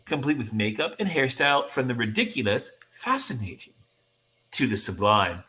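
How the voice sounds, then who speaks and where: Bright eager teenage girl hero, guy reading her part, earnest comic book stuff. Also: medium pitch, very animated, normal speed.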